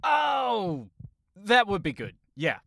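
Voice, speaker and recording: A man's voice: sits high, huge pitch swings, slow pace, vocal fry, fairly bright tone, slight background noise, full character voice. A man playing a jittery, naive young thief with a nervous, plain-spoken manner. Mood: puzzled, relieved.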